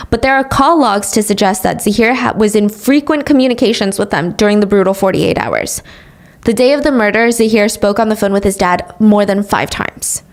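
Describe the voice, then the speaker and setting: A woman hosting a podcast, retelling a true crime case in casual chat with sarcastic asides. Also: medium pitch. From grew up speaking English, also Korean. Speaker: podcast host.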